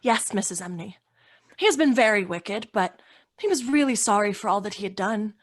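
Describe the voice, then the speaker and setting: A woman playing a gentle, earnest teenage girl in an old-time radio drama, soft and sincere. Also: medium pitch, animated, moderate pace, natural voice. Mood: solemn, tender.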